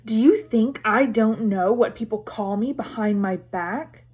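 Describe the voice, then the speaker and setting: A woman's voice: on the high side, unhurried, darkish tone, slightly rough. Lady reader doing a glum ghost girl, storybook, self-pitying, accusing, weepy. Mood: tearful, wounded. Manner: tearful, wounded, accusing.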